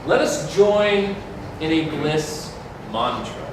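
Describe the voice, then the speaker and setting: A man playing a solemn, rapturous officiant at a mystical wedding, intoning a reverent, drawn-out blessing in a stage reading. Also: fairly high pitch, very animated. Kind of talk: ceremonial staged reading with slow sung chanting. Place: community hall stage. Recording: very echoey hall, distant mic, faint noise.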